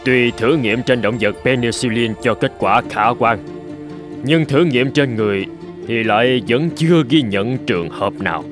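A man acts out a middle-aged cartoon professor of medicine, earnest and hopeful. He speaks at a medium pitch in measured, solemn phrases, with music playing behind him. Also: animated, natural voice. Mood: grave concern. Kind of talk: dramatic animated-film dubbing.

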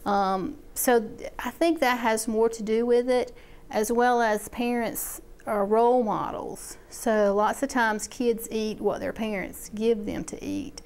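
Chatty woman, smooth clear voice. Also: on the high side, fairly even intonation, measured pace, darkish tone. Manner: chatty, with many fillers and restarts. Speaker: extension agent. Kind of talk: interview about a program.

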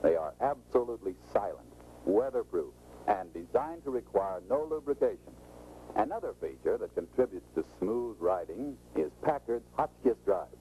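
An American man presents a scripted 1940s training film in an instructive, salesmanlike way. His voice is very dark and mellow, with lively, swinging intonation. It comes through a muffled old film soundtrack with faint noise. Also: on the low side, moderate pace.

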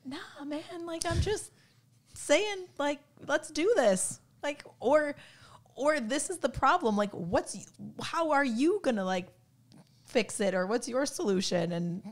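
A woman's voice: high voice, lively intonation, slow. A woman in her thirties with a cold, co-hosting a veterinary podcast in candid, chatty talk full of "like". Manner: candid, chatty, heavy use of "like". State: has a cold, voice worn. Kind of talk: casual podcast discussion. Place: home room, indoors. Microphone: studio mic on boom arm, headphones.

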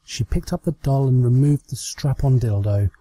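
A man's voice: mid-range voice, moderate pace, mellow, dry recording. A British man reading a story aloud as a scripted amateur narration. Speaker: amateur fan fiction reader.

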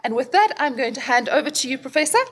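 Woman hosting a conference, high voice, chatty intro at the podium mic. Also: animated, fast talker, slight background noise. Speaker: conference host. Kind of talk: introducing a speaker. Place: conference room with seated audience.

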